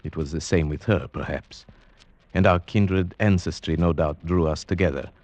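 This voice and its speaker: An actor playing a cultured Slavic gentleman in an old radio drama, speaking formally and with measured care. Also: low-pitched, lightly acted. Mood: grave, brooding. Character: cultured Slavic gentleman recounting a grim werewolf tale. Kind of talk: 1940s radio drama narration. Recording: muffled vintage radio recording with music.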